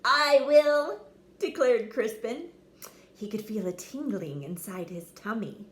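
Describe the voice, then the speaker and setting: A woman's voice: medium pitch, very animated, measured pace, dark tone. A woman reading a children's story aloud with dramatic, theatrical inflection. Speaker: storybook reader. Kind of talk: expressive scripted story narration for children.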